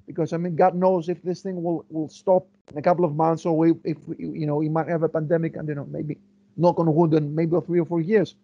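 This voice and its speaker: A man's voice: fairly high pitch, flat delivery, brisk, no echo, dull audio. A man from Greece, a historian answering interview questions thoughtfully, with many fillers and restarts as he searches for words. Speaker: guest historian.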